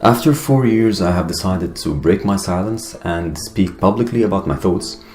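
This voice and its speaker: A young man with an Arabic accent, a native Arabic speaker, talking earnestly to camera in his own video with deliberate pauses. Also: sits low, a little mellow. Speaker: YouTuber. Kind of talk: personal video monologue. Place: Finland. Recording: echoey room with guitar music behind.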